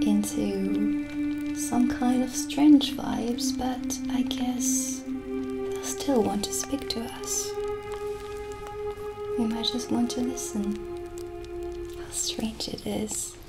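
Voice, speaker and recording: Woman as a hushed, slow-talking witch shopkeeper. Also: on the high side, fairly even intonation. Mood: hushed, welcoming. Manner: hushed, unhurried, often dropping into whispers. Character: gentle, chatty witch shopkeeper selling potions. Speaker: ASMR roleplayer. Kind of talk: improvised ASMR roleplay, soft-spoken and whispered. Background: original music.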